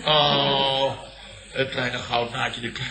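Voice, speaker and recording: An actor playing a blustering, pompous middle-aged Dutch company boss in a comic 1970s radio play, grumbling and declaiming theatrically. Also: male voice, mid-range voice, level tone, takes it slowly, crowd noise, close to an everyday voice. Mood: grumbling storyteller. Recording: roomy old radio comedy recording.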